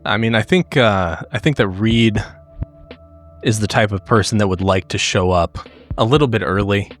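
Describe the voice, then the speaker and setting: A man playing a tabletop game, casually describing his character and haltingly thinking aloud. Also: fairly low pitch, soft background music. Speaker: player in a role-playing game.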